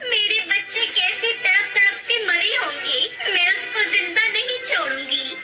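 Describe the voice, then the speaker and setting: A woman's voice: very high voice, expressive, measured pace, loud music behind the voice. A woman voicing a naive, fretful little mother bird in a children's cartoon. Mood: grieving. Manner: plaintive, fretful. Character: anxious, trusting mother bird, small and naive. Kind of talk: Hindi cartoon moral story acting.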